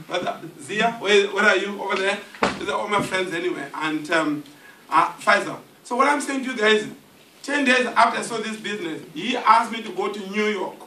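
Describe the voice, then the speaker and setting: A man's voice: high-pitched, sharp tone. A man, jovially giving a motivational testimony to a hotel audience. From Zimbabwe. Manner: jovial, jokes with the crowd. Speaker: guest speaker giving a testimony. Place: meeting room in a hotel. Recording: echoey hall, distant mic, faint noise.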